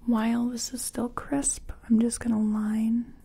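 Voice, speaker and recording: A woman speaking softly, mostly in whispers, in an ASMR makeup-artist roleplay, murmuring step by step as if to a client. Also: on the high side, level tone, unhurried, husky. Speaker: ASMR creator role-playing a makeup artist. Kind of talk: soft-spoken ASMR personal attention roleplay.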